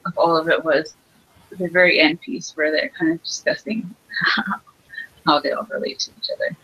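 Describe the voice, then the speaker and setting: A woman guest reviewer in a casual interview, soft-spoken with giggly laughs. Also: low-pitched, little pitch movement, moderate pace, breathy edge, dull audio.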